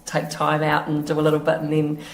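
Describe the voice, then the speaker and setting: Woman, New Zealand accent, hoarse, low-pitched, casual halting chat, echoey hall. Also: completely flat delivery, slight background noise. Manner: chatty, halting, laughs easily. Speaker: quilter being interviewed. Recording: echoey room.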